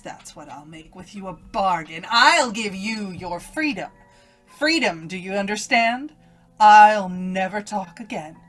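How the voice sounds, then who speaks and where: Casting: sinister inner voice, female, unhurried, insistent and cruelly taunting, for radio horror play. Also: medium pitch. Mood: bargaining, urgent. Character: evil woman's voice inside a young woman's head. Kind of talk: old-time radio horror acting.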